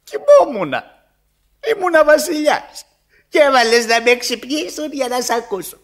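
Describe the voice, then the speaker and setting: An actor playing a bitter old father in a radio theatre monologue, plaintive, halting and dramatic. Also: very high voice, sing-song, heavily acted. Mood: bitter, accusing.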